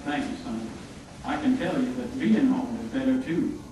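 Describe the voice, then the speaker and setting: A man voicing a folksy, gentle frontier father in a puppet show, telling things earnestly. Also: medium pitch, very animated, normal speed, close to an everyday voice. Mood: warm. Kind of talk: scripted church puppet show dialogue. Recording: echoey room, distant mic, faint noise.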